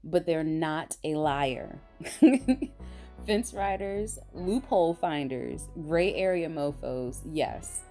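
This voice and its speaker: A woman vlogger with a raspy voice, sounding tired, talking in a rambling, blunt and emphatic way. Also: low voice, expressive, takes it slowly, mellow, soft background music. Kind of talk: casual opinion monologue.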